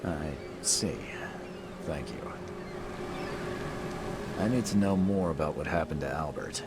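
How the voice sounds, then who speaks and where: An actor playing a calm, wry private detective in a video game, asking probing questions with dry composure. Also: male voice, a bass voice, takes it slowly, heavy background noise, exaggerated voice. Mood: wry, confident. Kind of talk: dramatic video game voice acting.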